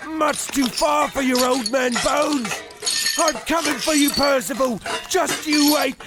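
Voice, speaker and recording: Vain talking slot machine for radio comedy: slow, high, pompous and melodramatic. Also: male voice, over loud music. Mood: melodramatic urgency. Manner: pompous, slow, overwrought declamation. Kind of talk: old-time radio play parody acting.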